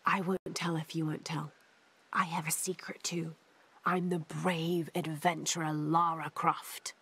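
An actress with a British accent playing a calm, determined young adventurer in a video game. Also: female voice, sits low, unhurried, slightly rough, full character voice. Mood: kind, coaxing. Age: young adult. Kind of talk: video game cutscene acting.

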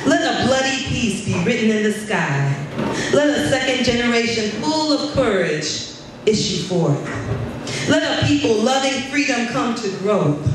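Echoey, high-voiced woman reciting poetry. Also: expressive. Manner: measured, emphatic, incantatory cadence with long lists. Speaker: poet. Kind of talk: poetry recitation at a live reading. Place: indoor gallery space with seated audience. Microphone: stand microphone, recorded from camera at a distance. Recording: echoey room, distant mic, background noise.

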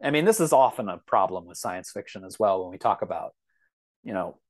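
A man speaking as a podcast guest in a reflective, conversational way, often halting and circling back with asides. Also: low voice, lively intonation, husky. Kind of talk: thoughtful conversational interview.

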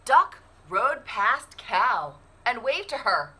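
Animated woman reader with a bright voice. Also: on the high side, husky. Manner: expressive, deliberate storytelling delivery. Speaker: library storyteller reading a picture book. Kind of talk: animated children's read-aloud.

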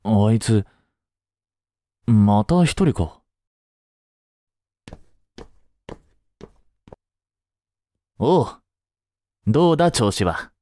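A man playing a caring, easygoing high school teacher in a voice drama, speaking conversationally with gentle concern. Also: expressive, slow pace, no room sound, studio quality. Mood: gentle, encouraging. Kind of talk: scripted Japanese voice drama acting.

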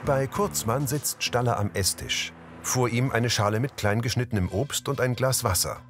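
A man's voice: mid-range voice, normal speed, fairly bright tone, faint music behind. A man reading scripted audio description, narrating the scenes of a drama.

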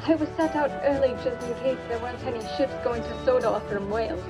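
A woman's voice: a little high, a little fast, lightly acted. A woman voicing a friendly young female diesel engine who speaks politely and with curiosity, with music underneath.

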